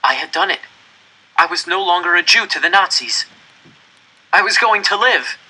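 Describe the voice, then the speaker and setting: A man reading a first-person story aloud in an expressive, deliberate, dramatic way. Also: high voice, slightly rough, poor audio. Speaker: audiobook reader.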